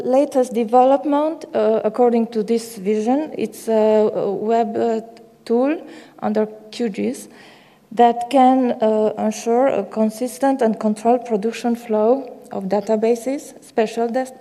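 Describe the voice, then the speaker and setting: A woman with a Romanian accent speaks slowly and haltingly, stumbling through a technical talk with many filler sounds. Her voice sits a little high but sounds very dark and mellow. It rings with the long reverb of a large conference hall. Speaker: conference presenter. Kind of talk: technical presentation.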